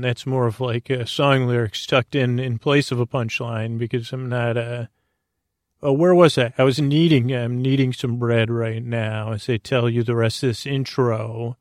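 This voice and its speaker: A man hosting a bedtime podcast, rambling in a halting, meandering way with a creaky, mumbly voice and self-deprecating asides. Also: medium pitch, dry recording, clean studio sound. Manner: meandering, halting, stumbling over words. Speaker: podcast host and storyteller. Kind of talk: rambling bedtime monologue to help listeners sleep.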